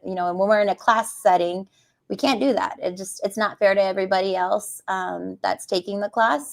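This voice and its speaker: A woman talks casually as a guest, chatty and quick to laugh. Her pitch sits in the middle for a woman. She is a riding coach speaking at a motorcycle dealership. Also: creaky. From West Texas. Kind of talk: casual interview chat.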